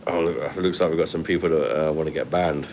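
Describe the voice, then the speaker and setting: Deep-voiced London man chatting on a phone. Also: steady tone, fast talker, darkish tone, slight background noise. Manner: blunt and sweary, laughs often. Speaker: livestream host. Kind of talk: casual live chat reading comments. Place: hotel room at night, indoors. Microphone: phone held at arm's length.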